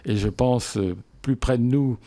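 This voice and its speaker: A man speaking as a local official in a radio interview, explaining things in measured, formal phrasing. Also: medium pitch, dark tone.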